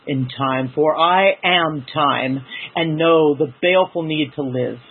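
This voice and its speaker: A phone-like, narrow recording of a man reciting poetry dramatically. His voice sits a little high and is husky, with deliberate, theatrical phrasing. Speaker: poetry reader. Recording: husky, muffled phone-like audio.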